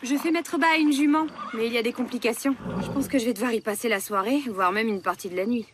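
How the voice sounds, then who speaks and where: A woman voicing a busy, practical mother and vet in a dubbed cartoon, talking in a brisk way. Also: on the high side, noisy background, lightly acted. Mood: hurried. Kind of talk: cartoon dubbing.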